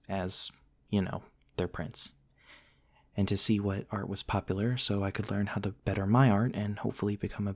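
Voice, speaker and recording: Man playing a deep-voiced, creaky vampire prince. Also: heavily acted. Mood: calm storytelling. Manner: soft-spoken, wistful, genteel storytelling with pauses. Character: eccentric, gentle vampire prince and artist from the 1800s. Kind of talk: intimate ASMR roleplay monologue.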